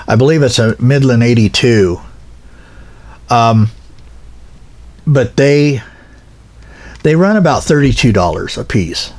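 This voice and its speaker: A plain-spoken man explaining equipment informally as an instructor, in a halting, practical way. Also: mid-range voice. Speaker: instructor, pilot car operator.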